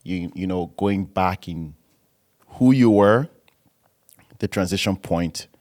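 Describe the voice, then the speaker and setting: Deep-voiced man hosting a church podcast. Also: a little mellow. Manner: conversational, with frequent restarts and drawn-out phrases. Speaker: podcast host. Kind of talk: casual church podcast interview.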